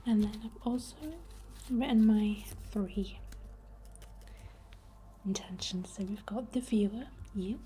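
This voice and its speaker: A woman speaking in a soft, breathy whisper, gently guiding a soothing, unhurried ASMR healing ritual. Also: medium pitch. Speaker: ASMR host. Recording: faint background noise.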